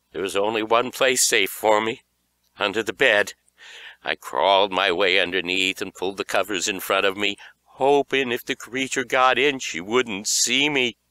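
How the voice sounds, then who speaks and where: Male audiobook narrator, dramatic suspense storytelling, bright clear voice, unhurried pace. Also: mid-range voice, dry recording. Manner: animated, expressive delivery. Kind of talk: dramatic scripted storytelling for young horror fiction.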